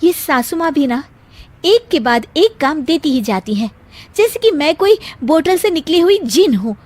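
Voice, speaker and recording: Cartoon young wife, woman narrator's character voice: high, swooping, grumbly, sly and mischievous. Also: normal speed. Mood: grumbling. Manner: grumbling, scheming, animated. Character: put-upon, sly, mischievous young daughter-in-law. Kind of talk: Hindi cartoon dubbing.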